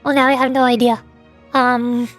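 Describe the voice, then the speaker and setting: A woman's voice: a little high, level tone, moderate pace. A woman streamer chatting casually with viewers, thinking aloud hesitantly, stammering over repeated words and putting herself down a little. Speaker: streamer, VTuber. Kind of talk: casual livestream chat with viewers. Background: background music.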